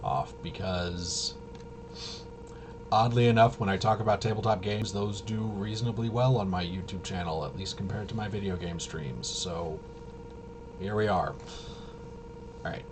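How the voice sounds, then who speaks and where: A man chatting casually as a livestream host, halting, often interrupting himself and trailing off. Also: low-pitched, noisy background. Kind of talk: casual rambling livestream chat.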